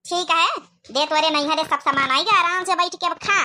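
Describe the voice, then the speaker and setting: A woman's voice: very high-pitched, normal speed, sharp tone. A woman voicing a commanding older village mother-in-law with an Awadhi accent in a cartoon comedy. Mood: bossy. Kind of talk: rural cartoon comedy dialogue.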